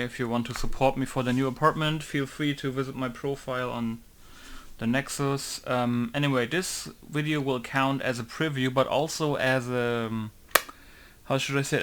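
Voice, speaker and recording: A man's voice: medium pitch, fairly bright tone, a little hiss or hum. A young man casually talking through a game modding tutorial, rambling with many fillers and self-corrections. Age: young adult. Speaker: game modder.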